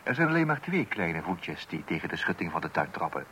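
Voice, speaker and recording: Exasperated radio-play father, pitch swooping. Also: sing-song, a little fast, pushed and bright, exaggerated voice. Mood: wry. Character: exasperated father of small children, trying to stay patient. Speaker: narrator addressing the listener. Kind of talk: Dutch radio play acting. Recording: hissy old radio recording.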